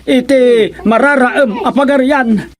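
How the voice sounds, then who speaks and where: High-voiced, hapless impostor prince. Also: male voice, takes it slowly, a little hiss or hum. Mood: nervous pleading. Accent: Filipino. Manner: stammering, pleading, exaggerated comic delivery. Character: hapless comic impostor prince posing as royal barber. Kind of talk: Filipino radio drama comedy acting.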